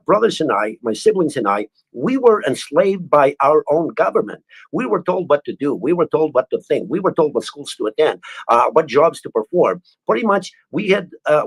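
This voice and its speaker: A man's voice: fairly high pitch, husky, low-quality recording. A middle-aged man from Albania with an Albanian accent, speaking earnestly as an interview guest and often restarting words. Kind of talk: interview answers.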